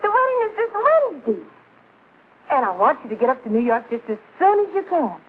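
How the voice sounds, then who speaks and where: Sweet, high-voiced young bride-to-be. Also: female voice, sing-song, fairly quick. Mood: gushing. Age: young adult. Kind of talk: 1950s American sitcom acting. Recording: tinny vintage television soundtrack.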